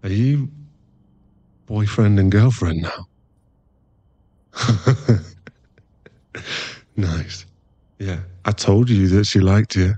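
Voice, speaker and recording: A man's voice: fairly low pitch, very slow, long pauses, warm tone, dry recording. A man playing a playful, affectionate, laddish werewolf boyfriend in a casual, teasing ASMR roleplay. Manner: casual, laddish, teasing, drops into murmured asides.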